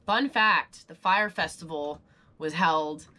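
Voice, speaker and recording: Young woman explaining, bright voice. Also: low voice, takes it slowly. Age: young adult. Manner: explains step by step, relaxed. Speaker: sailing vlogger. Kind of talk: casual explanatory chat. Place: sitting in a boat cabin.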